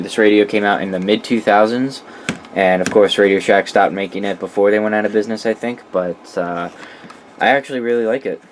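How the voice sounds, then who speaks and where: A man's voice: fairly low pitch, slight background noise. A man presenting a collection in a casual, unscripted way, informal and opinionated, swearing freely. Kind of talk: casual unscripted product walkthrough.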